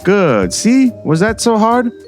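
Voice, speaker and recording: A man playing a slick, self-serving small-town mayor in an audio drama, smooth-talking and condescending. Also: high-pitched, sing-song, takes it slowly, soft background music, heavily acted.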